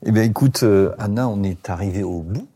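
A man's voice: fairly low pitch, a little fast, dark tone, husky. A man hosting a podcast interview, asking questions casually and giving frequent short agreements. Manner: informal, lots of short backchannel agreement. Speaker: podcast host. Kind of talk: conversational interview.

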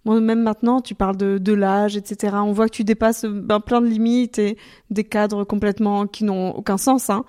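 A woman's voice: medium pitch, little pitch movement, normal speed, dark tone. A woman interviewer who asks questions in a chatty, friendly way. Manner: friendly, chatty, addresses the guest with tu. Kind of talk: casual interview.

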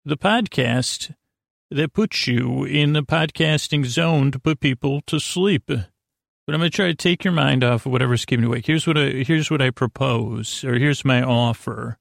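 A man with a creaky voice hosts a bedtime podcast, rambling in a halting, self-interrupting, goofy and self-deprecating way. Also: medium pitch, no echo, studio quality. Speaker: podcast host.

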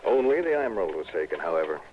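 A man's voice: low-pitched, sing-song, fast talker. An actor playing a chatty, harried insurance company man in a 1960s radio drama, speaking in an emphatic, folksy way. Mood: explaining. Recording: dull, slightly noisy old radio broadcast.

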